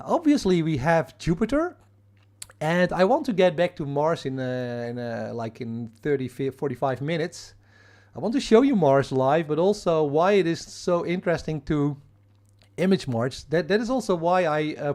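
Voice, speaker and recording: Dutch man, halting live-stream talk. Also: fairly high pitch, a little mellow. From Netherlands. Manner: halting, many fillers and restarts; reads and answers viewer chat. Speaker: astrophotography livestream host. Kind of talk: casual live-stream explanation.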